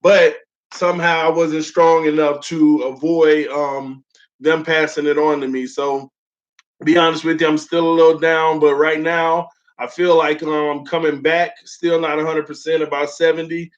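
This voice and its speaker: Young male stream host, fairly high, flat-voiced, loose and friendly with steady "um" fillers, voice still sore from illness, muffled lo-fi sound. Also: normal speed. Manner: loose and friendly, heavy "um" fillers, calls viewers "man" and "y'all". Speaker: host of a sports fan livestream. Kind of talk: casual livestream chat, reading viewer comments. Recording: dull lo-fi stream audio.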